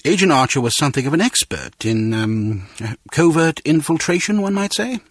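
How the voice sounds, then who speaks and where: The chief of a spy agency in a video game. His voice is slightly rough and moves freely in pitch, yet he stays formal and measured. It is recorded dry. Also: big pitch swings, no echo. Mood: measured, firm.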